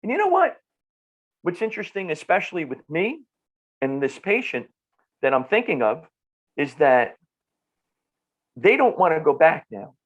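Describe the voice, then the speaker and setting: A man's voice: a little high, sing-song, moderate pace, pauses often, highs cut off. A middle-aged man with a New Jersey accent explains things conversationally and emphatically, repeating phrases and posing rhetorical questions. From northern New Jersey, United States. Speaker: nutrition clinician, podcast guest.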